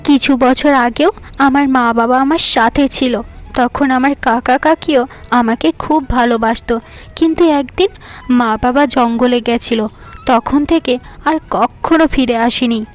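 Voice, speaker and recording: Plaintive orphan girl, timid and sad, fairy-tale cartoon, voiced by a woman, high-pitched. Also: unhurried, faint music behind. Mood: sorrowful. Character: frightened, sorrowful young orphan girl.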